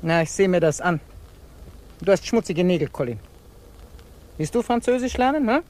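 A woman's voice: lowish voice, lively intonation, moderate pace, darkish tone, lightly acted. An actress playing a tyrannical, tight-fisted businesswoman aunt in a radio play, scolding with sarcasm and command. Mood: stern, accusing. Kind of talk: 1950s German radio play acting. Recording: faintly noisy old 1950s radio recording.

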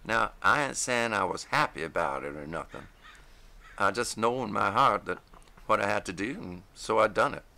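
Folksy old-timer with a slow rural drawl, deep and gravelly, put on by a male narrator. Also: animated, bright, forceful tone, exaggerated voice. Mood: wistful. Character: folksy older man, grieving dog lover.

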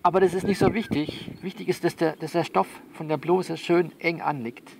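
A man playing a soothing but bossy confidant who coaches someone by phone in an audio drama, halting and repeating himself as he instructs. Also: fairly high pitch, fairly even intonation, gravelly, background noise. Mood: bossy, coaxing. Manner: halting, repetitive, instructive, reassuring. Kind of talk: one-sided phone conversation in an audio novel.

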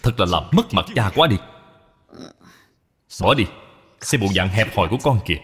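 A man's voice: fairly low pitch, expressive, moderate pace, a bit bright. A man voicing a dignified, courteous middle-aged lord and father in a children's cartoon, speaking formally and with measure. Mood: stern. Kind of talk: dubbed children's moral cartoon. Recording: long hall reverb, music behind.

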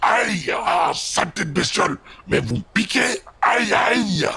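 Man putting on a gravelly, howling storybook ogress. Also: sits high, expressive, measured pace, voice pushed up, full character voice. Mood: pained, angry. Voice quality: strained, gravelly. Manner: shouting, wailing in pain. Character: pained, angry monstrous ogress of the forest.

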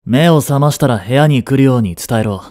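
Man acting a haughty young prince, dark voice, swinging pitch. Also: expressive, brisk, no echo, studio quality, lightly acted. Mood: subdued, brooding. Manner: imperious, curt, scolding. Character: cold, haughty young prince, commanding and secretly protective. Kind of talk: Japanese romantic audio drama acting.

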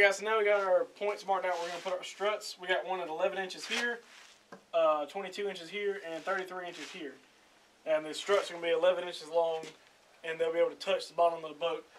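A young man with a slightly high, bright, forceful voice runs a casual how-to video, chatty and upbeat, tossing in "uh" between steps. He's picked up by a camera mic in an open carport, with faint outdoor ambience behind him. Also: distant mic, a little hiss or hum, low-quality recording. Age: young adult. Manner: upbeat, chatty, filler uh's. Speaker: outdoor channel host.

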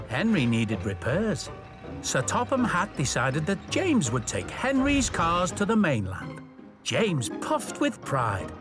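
Guy reading a kids story, slow and super expressive, music behind. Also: a little high. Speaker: storybook narrator. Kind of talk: scripted children's story narration. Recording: music under the narration.

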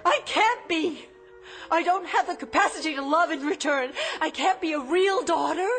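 An actress playing an emotional young android daughter in a radio drama, pleading and dramatic. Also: female voice, extremely high pitch, moderate pace, a bit bright, soft background music. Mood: bitter despair. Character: anguished young android daughter discovering she is a robot.

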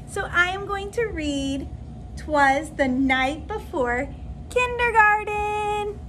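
A woman with a high voice reads a picture book aloud like a kindergarten teacher. She takes it slowly and expressively for young listeners. There is faint noise behind her in a classroom recording. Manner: expressive, animated delivery to children. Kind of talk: read-aloud storytelling for young children. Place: indoor classroom.

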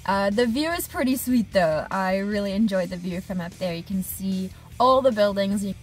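A woman's voice: medium pitch, moderate pace, faint music behind. A woman in her thirties, an HVAC technician, talking casually and expressively to camera from the cab of her truck. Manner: animated, expressive, gesturing with hands. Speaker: HVAC technician and business owner. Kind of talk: casual vlog diary. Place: inside a parked truck cab. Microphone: camera on dashboard at arm's length.

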